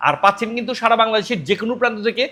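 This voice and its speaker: A man with a Bangladeshi accent presents a product as a shop salesman. His voice is high for a man and he talks extremely fast. The delivery is a persuasive demonstration that circles back over its selling points. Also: steady tone, sharp tone. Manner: persuasive, repetitive.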